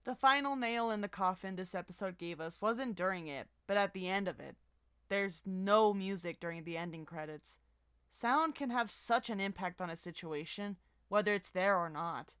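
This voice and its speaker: A woman's voice: mid-range voice, normal speed, fairly bright tone, smooth, dry recording, clean studio sound. A woman co-hosting a video, reading scripted commentary with casual asides over background music. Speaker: video co-host. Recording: music playing behind the voice. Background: music bed.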